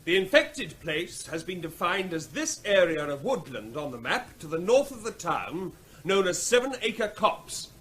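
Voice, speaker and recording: A man's voice: high-pitched, sing-song, measured pace, a bit bright, heavily acted. An actor in a radio play voicing a stern male official, speaking formally and insistently as if to a public meeting. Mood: formal, measured. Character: stern male official running rabies control briefing.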